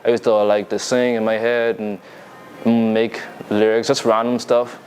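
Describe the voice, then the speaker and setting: A man's voice: lowish voice, level tone, moderate pace, darkish tone. Young bahamian guy chatting loose and easy, lots of likes and restarts, bit of hiss behind. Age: young adult. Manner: laid-back, loose, lots of fillers and restarts. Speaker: musician guest. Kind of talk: casual interview chat.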